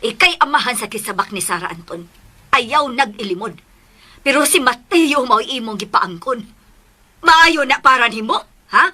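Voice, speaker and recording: A stern, scolding figure in Cebuano radio drama. Her high, bright voice swings with animation. She is accusing and no-nonsense, full of moral outrage. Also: animated, normal speed. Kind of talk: melodramatic Filipino radio drama acting.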